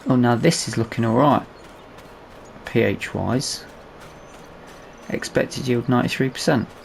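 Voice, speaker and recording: A man's voice: mid-range voice, measured pace, creaky, slight background noise. A British man giving casual let's-play commentary, musing aloud to himself as he goes. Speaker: gaming video host.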